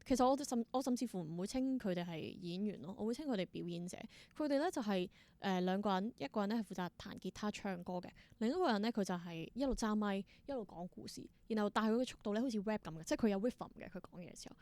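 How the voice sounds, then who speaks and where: A woman's voice: mid-range voice, lively intonation, fast talker, few pauses, darkish tone, no room sound. A woman with a Hong Kong Cantonese accent telling stories casually as an interview guest, thinking aloud in short, reflective bursts. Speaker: interview guest, independent theatre performer.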